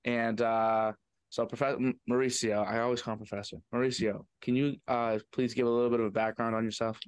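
A man's voice: medium pitch, little pitch movement, a little fast, smooth, no echo. Earnest male podcast host, dry close sound. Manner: informal, earnest, admiring. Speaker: podcast host, former student. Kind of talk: casual interview chat.

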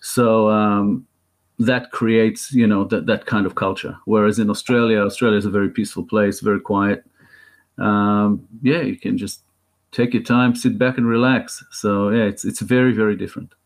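A man in his thirties with an Israeli accent, a filmmaker talking as a guest in an interview, thoughtful and halting with many restarts. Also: lowish voice, fairly even intonation. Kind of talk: interview conversation.

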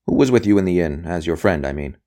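A man doing a forceful, relentless interrogator. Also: low-pitched, lively intonation, fast talker. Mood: sharp, impatient. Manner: forceful, clipped, pressing questions. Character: relentless male interrogator, insistent, coolly impatient official.

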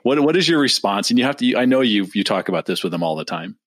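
Low-voiced man hosting a podcast. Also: animated, fast, dry recording, studio quality. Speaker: podcast host. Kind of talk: podcast interview.

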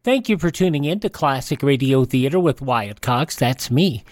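A man's voice: mid-range voice, animated, moderate pace, dry recording, clean studio sound. A man hosting a radio show, reading scripted continuity announcements. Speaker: radio host. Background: prominent music.

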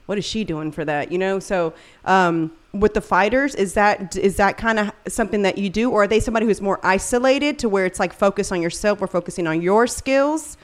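A woman's voice: medium pitch, brisk, hardly any pauses. A woman in her late thirties chatting casually and informally. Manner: informal, conversational. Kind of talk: casual chat.

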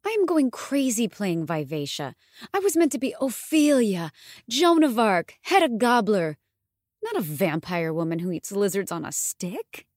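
A woman's voice: mid-range voice, big pitch swings, measured pace, darkish tone, dry recording, clean studio sound. A woman voicing a frustrated, ambitious young actress, dramatic and emphatic, in an audiobook. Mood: desperate, determined. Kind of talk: audiobook dialogue acting.

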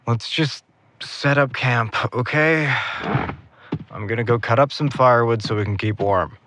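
A man's voice: mid-range voice, a little slow, very noisy, natural voice. An actor playing a guarded, tired and stubborn young man in a naturalistic audio drama, speaking tersely. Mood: weary, businesslike.

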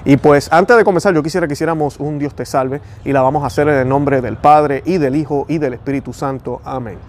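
Fast-talking Puerto Rican man. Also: on the high side, darkish tone, a little hiss or hum. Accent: Puerto Rican Spanish. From Puerto Rico. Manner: fast-talking, earnest, prayerful. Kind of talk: devotional talk and prayer.

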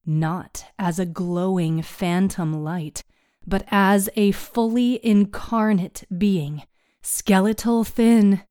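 A woman narrating a scripted story recap in a measured, dramatic storytelling manner. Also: medium pitch, steady tone, mellow, dry recording, clean studio sound.

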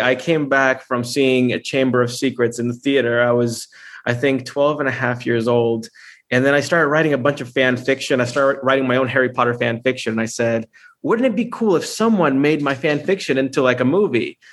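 A man in his thirties from Honduras, a filmmaker chatting as a podcast guest in an upbeat way, with lots of fillers and restarts. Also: medium pitch. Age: 30s. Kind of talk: casual podcast interview.

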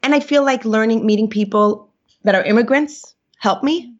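A woman's voice: fairly high pitch, moderate pace. Reflective woman, dull lo-fi sound. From New York. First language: Yiddish. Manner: reflective, searching for words, many fillers. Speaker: guest. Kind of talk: personal interview. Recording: dull lo-fi recording.

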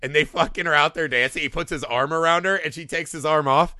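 A man with a bright, forceful and slightly high voice in a dry, close recording. He co-hosts a casual comedy podcast, swearing freely and ranting, often tossing in mock quotes. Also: quick pace, no echo. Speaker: podcast co-host. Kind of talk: casual comedic movie discussion.

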